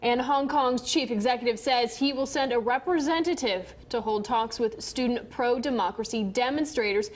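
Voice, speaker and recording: A woman's voice: fairly high pitch, moderate pace, few pauses. An American woman working as a news anchor, moving between scripted reading and chatty banter.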